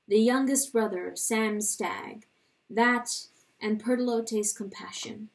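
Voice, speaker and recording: A woman reading a literary story dramatically, in a solemn, deliberate narrating style. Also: on the high side, vocal fry, distant mic, rough recording. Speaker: audiobook reader.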